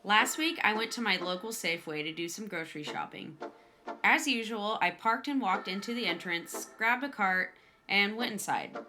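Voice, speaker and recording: A woman's voice: mid-range voice, animated, normal speed, sharp tone. A woman hosting and narrating scripted stories with her own commentary. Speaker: narrator and host. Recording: music under the voice.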